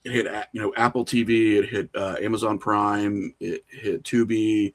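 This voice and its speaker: A man's voice: fairly low pitch, little pitch movement, normal speed. A man talking informally as an interview guest, telling anecdotes in a self-deprecating, conversational way. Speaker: podcast guest, indie filmmaker.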